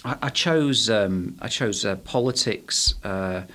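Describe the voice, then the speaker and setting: A man's voice: fairly low pitch, slow, fairly bright tone. Hesitant man with a Manchester accent. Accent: Manchester English. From England. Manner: hesitant, many restarts and fillers. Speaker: guest, head of a management body. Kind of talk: podcast interview.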